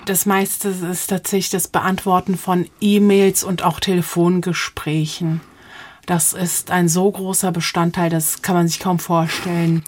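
A woman in her forties from Germany, answering interview questions conversationally as a poet, reflective and pausing to search for words. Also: lowish voice, level tone, darkish tone. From Offenbach, Germany. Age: 40s.

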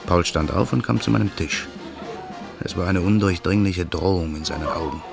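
A man's voice: low-pitched, normal speed, creaky, music in the background. A man narrating and playing Daniel, a bitter man set on revenge, in an earnest, brooding radio play voice. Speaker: narrator and protagonist.

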